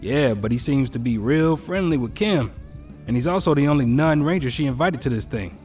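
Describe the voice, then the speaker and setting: A man's voice: medium pitch, expressive, fairly quick, darkish tone, faint music behind, close to an everyday voice. A man acting a brash, teasing teenage boy in an audio drama, slangy and needling, recorded at a distance from the mic.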